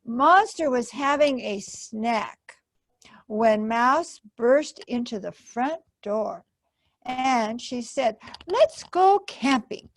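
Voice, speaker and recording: Woman, children's storytime reader: very slow delivery, highish pitch, expressive and simple. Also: no echo. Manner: expressive, simple explanations addressed to young children. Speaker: library storytime host and reader. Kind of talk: children's picture-book read-aloud.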